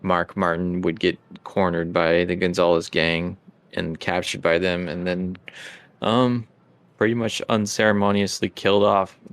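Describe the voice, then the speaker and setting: A man with a low voice and noticeable vocal fry hosts a tabletop game as game master. He talks in a casual, conversational way with the group. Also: little pitch movement, unhurried, no room sound.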